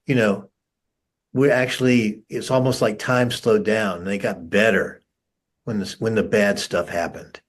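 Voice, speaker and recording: A middle-aged man telling anecdotes in a casual conversation. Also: on the low side. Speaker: conversation participant and former military pilot.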